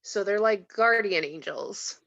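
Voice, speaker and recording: Casting: blunt, skeptical mortal woman played by an actress, mid-pitched, table-read delivery, slightly muffled lo-fi recording. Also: measured pace, a bit bright, no echo. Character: skeptical, bitter mortal woman, reluctantly chosen. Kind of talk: table read of a film script.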